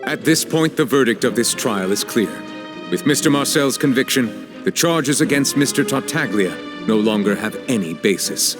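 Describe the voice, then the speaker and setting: Casting: man playing stern presiding judge, formal and measured, mid-range voice, prominent music underneath. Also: medium pitch, lively intonation, natural voice. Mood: stern, composed. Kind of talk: anime game voice acting. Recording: loud music behind.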